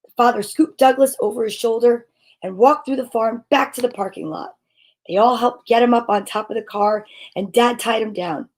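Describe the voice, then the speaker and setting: A woman reading a children's storybook aloud expressively, with dramatic pauses and a husky voice. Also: fairly high pitch.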